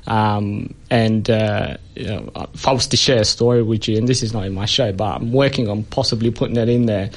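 A man's voice: fairly low pitch, steady tone, brisk, few pauses. A man from Ethiopia, a comedian guest chatting casually and telling stories with lots of fillers and laughter. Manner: chatty storyteller, many fillers, laughs often. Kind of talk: casual anecdotal interview chat.

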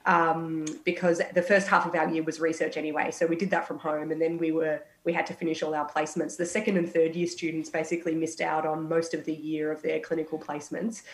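A young woman on a radio health panel, a final-year medical student, talking frankly and a little croaky from a cold. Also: low-pitched, level tone, fairly quick, hardly any pauses, echoey room, rough recording. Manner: frank, reflective, many restarts. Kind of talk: casual radio panel chat.

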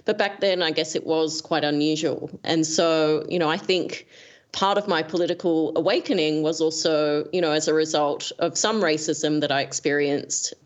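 A woman's voice: deep voice, steady tone, moderate pace, lo-fi sound. An Australian woman in her forties, a guest author answering questions thoughtfully, with frequent hesitations and fillers. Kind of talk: reflective conversation.

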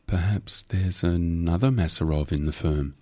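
A man's voice: low-pitched, normal speed, warm tone. A man voicing a nervous, modest male junior lawyer in an audiobook, hesitant and deferential. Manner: hesitant, stammering ums, deferential.

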